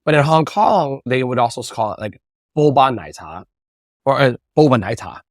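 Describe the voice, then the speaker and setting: A man being interviewed, telling casual anecdotes and acting out quotes with animated energy. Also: medium pitch, normal speed, no room sound, clean studio sound. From United States. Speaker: interview guest, bubble tea entrepreneur.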